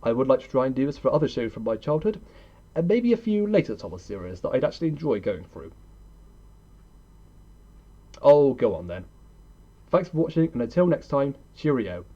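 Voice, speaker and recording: Mellow young man, dull lo-fi recording. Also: medium pitch, very animated, normal speed, long pauses. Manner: opinionated, conversational, rhetorical questions. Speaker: video host and reviewer. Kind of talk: scripted review narration, countdown ranking.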